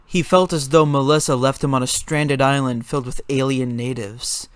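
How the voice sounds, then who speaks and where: A man's voice: mid-range voice, steady tone, moderate pace, clear, resonant tone, no room sound. A man reading scripted story narration as a dramatic reading.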